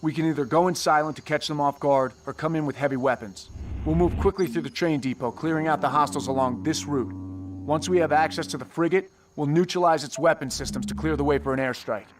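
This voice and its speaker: A man's voice: fairly high pitch, brisk, far from the mic, background noise, natural voice. A man playing a stern, decisive squad commander in a video game, giving terse, authoritative orders. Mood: resolute. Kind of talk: video game cutscene acting.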